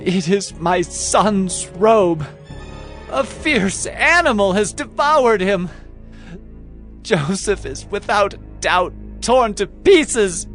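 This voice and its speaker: Slow, high-pitched elderly patriarch. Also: male voice, expressive, fairly bright tone, faint music behind, exaggerated voice. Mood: grief-stricken. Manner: slow, dramatic, emphatic delivery. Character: elderly patriarch father, stern yet deeply emotional. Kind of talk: Bible audio drama acting.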